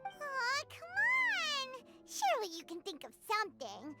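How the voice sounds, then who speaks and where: Casting: a little fairy companion for a video game. Piercingly high, childlike, earnest, very animated. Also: female voice, measured pace, loud music behind the voice, full character voice. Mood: excited, pleading. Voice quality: squeaky, childlike. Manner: chirpy, talks of self in third person. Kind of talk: video game cartoon-like voice acting.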